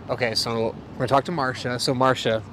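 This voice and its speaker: Casting: male host, mid-range voice, relaxed interview chat, outdoors with clear urban noise. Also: medium pitch, a little fast. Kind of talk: casual vlog chat and interviewing. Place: outdoors at a rest stop by the beach.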